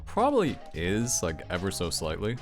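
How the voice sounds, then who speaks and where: A deep-voiced man reacts to game news in a loose, informal, rambling way. His pitch moves around in an animated fashion. Music plays clearly behind his commentary. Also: moderate pace.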